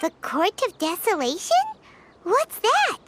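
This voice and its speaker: A woman voicing a tiny, childlike fairy companion in a video game, squeaky, blunt and curious. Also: wildly swooping pitch, measured pace, background noise, heavily acted. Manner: squeaky, refers to self in third person.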